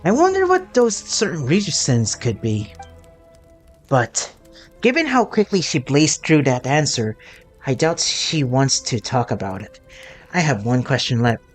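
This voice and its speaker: A woman's voice: extremely low pitch, sing-song, a little slow, slightly rough, soft background music. A woman streaming a game, commenting on the story and reading dialogue aloud with dramatic expression. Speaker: gaming streamer.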